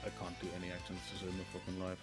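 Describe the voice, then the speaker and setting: A man's voice: low-pitched, completely flat delivery, very fast, soft background music. A man with a Finnish accent, a native Finnish speaker, chatting casually with friends while streaming a game, with dry, sweary banter. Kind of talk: casual chat while gaming.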